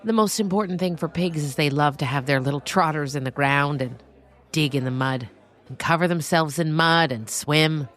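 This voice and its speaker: A woman's voice: deep voice, moderate pace, a bit bright. A woman voicing a frank, heartfelt farmer in an audio story. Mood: matter-of-fact. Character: frank, earnest adult woman goat-and-pig farmer.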